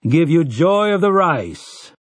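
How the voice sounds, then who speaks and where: A man's voice: on the high side, big pitch swings, slow, no echo, clean studio sound, voice pushed up. Man doing a weary old gunslinger. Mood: gentle. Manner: old-fashioned formal speech. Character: weary older male gunslinger, courtly and grave.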